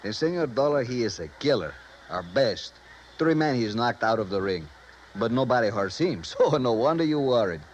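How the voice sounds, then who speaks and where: An actor playing an excitable, self-important Mexican police sergeant in a 1950s radio drama, theatrical and expansive, with a Mexican accent and broken English. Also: male voice, medium pitch, normal speed, close to an everyday voice. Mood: boastful. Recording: dull, noisy 1950s radio recording.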